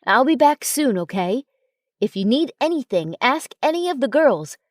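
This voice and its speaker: A woman voicing a gentle, devoted young male alpha werewolf in a tender, reassuring way for a story. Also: sits high, very animated, normal speed, no echo, clean studio sound, pitched above the performer's own voice.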